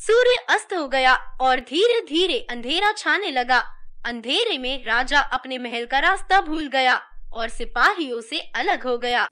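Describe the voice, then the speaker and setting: A woman's voice: sits high, expressive, measured pace, sharp tone. A woman narrating a scripted children's story as a storyteller.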